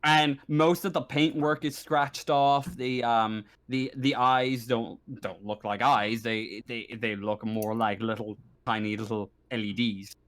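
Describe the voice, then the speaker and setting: Halting man running a tabletop game. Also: medium pitch, steady tone, a bit bright. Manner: halting, stammering delivery with repeated words. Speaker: game master. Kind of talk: improvised narration of a tabletop roleplaying game.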